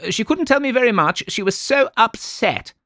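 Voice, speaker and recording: A man voicing a fretful, self-pitying wife who plays the invalid, in a shrill, plaintive, theatrical way. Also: animated, moderate pace, sharp tone, dry recording, clean studio sound, heavily acted.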